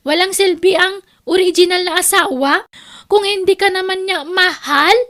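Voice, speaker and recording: A woman's voice: extremely high pitch, normal speed, a bit bright. A woman playing a brazen, possessive young mistress who taunts her rival in a radio drama. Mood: taunting.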